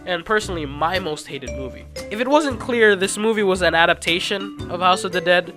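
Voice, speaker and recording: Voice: mid-range voice, lively intonation, a little fast, bright, forceful tone. A video essayist narrating in a casual, informal and self-deprecating way, as if reading a loose script. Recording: music playing behind the voice. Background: background music.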